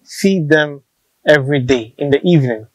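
A man's voice: on the high side, lively intonation, normal speed, dry recording. A man in his thirties with a Ghanaian English accent, explaining his farm practice outdoors on a lapel mic, patient and practical, often repeating words. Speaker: snail farmer. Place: open-sided farm shelter outdoors.

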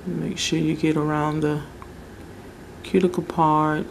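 Slow, gravelly-voiced woman chatting. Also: low voice, slight background noise. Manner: relaxed, chatty, searching for words. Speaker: nail tutorial host. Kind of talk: casual how-to narration. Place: home table, indoors.

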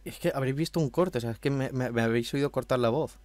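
A man's voice: medium pitch, quick pace, darkish tone, dry recording. A man from with a slight cold, chatting casually and swearing while commentating a video game from home. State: has a cold, throat a bit hoarse. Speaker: gameplay commentator. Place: at home in a room.

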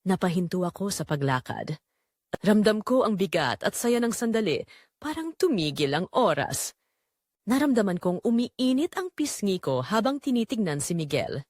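Expressive woman narrating romance, lively pitch. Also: normal speed, no room sound, clean studio sound. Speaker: story narrator. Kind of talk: scripted first-person romance narration.

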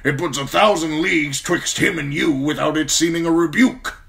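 A man voicing a blunt, gruff old military lord who gives brusque orders, in an audiobook reading. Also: on the high side, normal speed, fairly bright tone, pitched above the performer's own voice.

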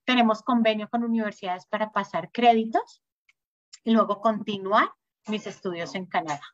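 Woman, upbeat presenter, clear and informative, dry slightly dull audio. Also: normal speed, dry recording. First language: Spanish. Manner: informative, upbeat sales pitch. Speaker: school sales manager presenting. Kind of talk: webinar presentation.

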